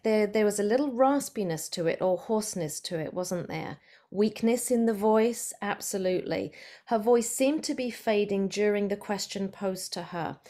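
A woman's voice: mid-range voice, darkish tone. A woman speech therapist giving an online presentation over a video call, explaining in a friendly way with some fillers.

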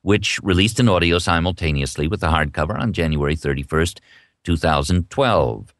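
A man's voice: deep voice, moderate pace, a bit bright, no echo, clean studio sound. A man who narrates audiobooks, hosting an author interview as the interviewer.

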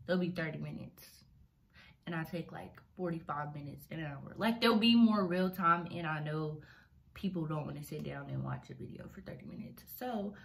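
Chatty young woman, lowish voice. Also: gravelly. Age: young adult. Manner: chatty, relaxed, lots of "um" and "like". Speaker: beauty vlogger. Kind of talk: casual makeup tutorial voiceover. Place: small bedroom.

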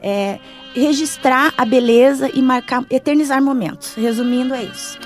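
Brazilian woman, middle-aged radio guest, informal, fairly high voice over faint music. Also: moderate pace. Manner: informal, often adding tag questions. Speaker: interview guest. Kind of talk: casual radio interview. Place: small foam-padded radio studio. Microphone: studio microphone.

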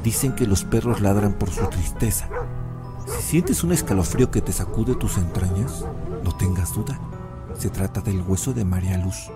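A man acts as an old grandfather telling a spooky legend in a radio play. His voice is low and full of suspense, dramatically narrating over music. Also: expressive, moderate pace, natural voice. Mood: suspenseful.

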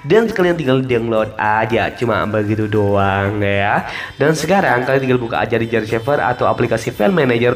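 A man giving a casual, step-by-step app tutorial voice-over, informally repeating instructions and drawing out the ends of phrases. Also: mid-range voice. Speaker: tutorial host. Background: music.

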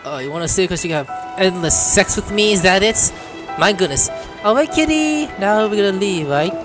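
A woman's voice: medium pitch, expressive, normal speed, music in the background. A woman streaming a game, reading choices aloud with playful, crude, chatty commentary. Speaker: gameplay streamer. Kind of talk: casual let's-play commentary, reading dialogue aloud.

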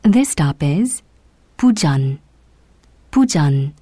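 A woman giving scripted subway announcements in a formal, deliberate way. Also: deep voice, very animated, dark tone, slightly rough, no room sound, studio quality. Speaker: English-language subway announcer. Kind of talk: scripted public transit announcement.

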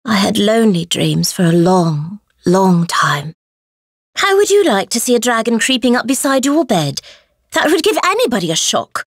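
An actress playing a gentle, dreamy dragon woman in a video game, with a sleepy, delicately dramatic delivery. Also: medium pitch, big pitch swings, normal speed, no room sound, studio quality. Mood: startled, playful. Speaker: voice actor. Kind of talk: scripted game character voice clips.

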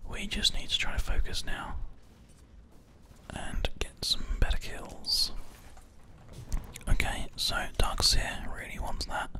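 A video game host giving whispered ASMR play-by-play, thinking aloud in an unhurried, hushed way close to a binaural microphone. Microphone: binaural microphone, close to the ear. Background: computer keyboard typing and mouse clicks.

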